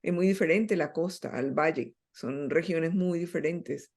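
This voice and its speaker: Middle-aged Colombian woman, writer guest, thoughtful and halting. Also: low-pitched, darkish tone. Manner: reflective, hesitant with many fillers. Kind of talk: interview conversation.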